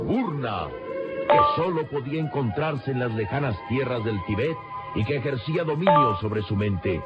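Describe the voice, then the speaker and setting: A man narrating a radio serial from a script in a dramatic style. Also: mid-range voice. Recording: dull lo-fi radio serial recording. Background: loud music.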